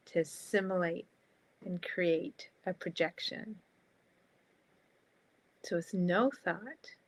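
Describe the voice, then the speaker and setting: A woman guiding a meditation in a calm, soft, spacious manner, leaving long pauses between short phrases. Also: on the low side, rough recording. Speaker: meditation guide.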